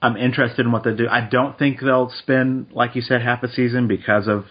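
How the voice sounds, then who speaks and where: A man co-hosting a casual chat podcast, speaking in a measured, reflective way with frequent hedges. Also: medium pitch, fairly even intonation, husky. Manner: measured, reflective, hedging with uh and I think. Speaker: podcast co-host. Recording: dull lo-fi podcast audio.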